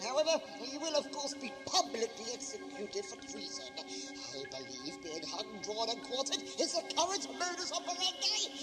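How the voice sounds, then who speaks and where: A man acts a haughty, furious knight in a period audio drama. His voice is very high and swings widely as he gives imperious orders. Loud music runs behind him. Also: sing-song, normal speed, low-quality recording. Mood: cold contempt. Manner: imperious, pompous. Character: haughty, furious English knight, contemptuous and commanding. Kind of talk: historical audio drama acting.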